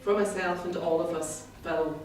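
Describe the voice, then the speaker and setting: A very reverberant, noisy recording of a middle-aged woman giving a prepared speech. Her delivery is measured, wry and self-deprecating, picked up from some way off in the room. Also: lowish voice, fairly even intonation. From Scotland, born in the Highlands. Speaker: club member giving a first speech. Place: indoor meeting room, in front of an audience. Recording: very echoey room, distant mic, clearly noisy.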